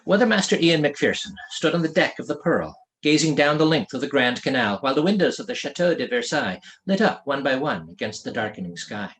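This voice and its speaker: A man narrating a story as an author reading his own novel, in an expressive storytelling delivery. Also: mid-range voice, fairly quick, few pauses. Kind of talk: scripted audiobook narration.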